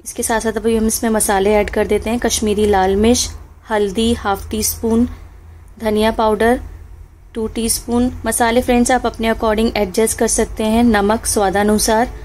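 A woman's voice: on the high side, level tone, normal speed, darkish tone, a little hiss or hum. A woman hosting a home cooking channel, talking listeners through a recipe step by step in a friendly, instructive way. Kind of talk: home cooking tutorial voice-over. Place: home kitchen stove.

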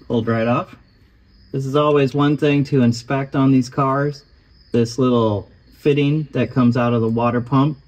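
A man calmly explaining each step of putting an engine back together, voicing over his repair work. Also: mid-range voice, moderate pace, low-quality recording. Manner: calm, matter-of-fact explaining. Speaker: host of a car restoration channel.

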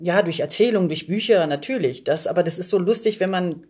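A woman's voice: on the low side, a little fast, warm tone, husky. A middle-aged woman from Berlin chatting casually, telling stories in looping, unfinished sentences. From Berlin, Germany. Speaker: podcast guest, performer. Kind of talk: casual chat.

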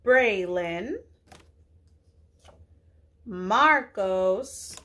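A young woman preschool teacher addressing little children in a deliberate, clearly enunciated way, often posing questions. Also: medium pitch, animated, sharp tone. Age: young adult. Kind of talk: children's lesson, addressing kids, English with some Spanish words.

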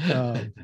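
A man's voice: on the high side, slightly rough, dry recording, studio quality. A man co-hosting a casual podcast over a video call, joking and teasing his friends and swearing freely. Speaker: podcast co-host. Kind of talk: casual chat. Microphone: video call with desk microphone.

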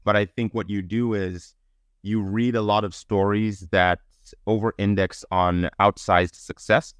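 A man's voice: fairly low pitch, fairly even intonation, unhurried, smooth, no echo, studio quality. A middle-aged man from Zambia who later lived in Belgium, giving conversational, explanatory interview answers as a podcast guest and thinking aloud. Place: indoors on a video call.

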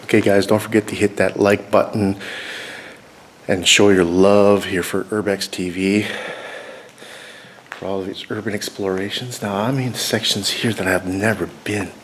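A man's voice: on the low side, fairly even intonation, normal speed. A man in his forties casually narrating to viewers as he explores, talking informally as host. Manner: informal, addressing viewers directly. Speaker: urban exploration vlogger, host. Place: inside an abandoned church, empty rooms and halls. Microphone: handheld camera at arm's length. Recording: noisy handheld camera audio in empty building.

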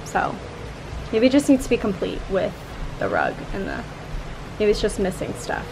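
A young woman in her twenties chatting casually as she vlogs about decorating her apartment, thinking out loud with lots of filler words. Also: mid-range voice, wildly swooping pitch, normal speed, creaky, background noise. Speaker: lifestyle vlogger. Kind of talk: casual vlog chat to camera. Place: apartment balcony, car and kitchen.

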